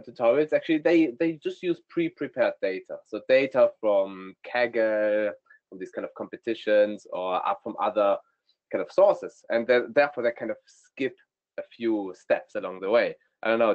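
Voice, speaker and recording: A man with a German accent, speaking as a guest data scientist in a webinar, often pausing with ums. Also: lowish voice, lo-fi sound. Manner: hesitant, many ums. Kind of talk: webinar presentation.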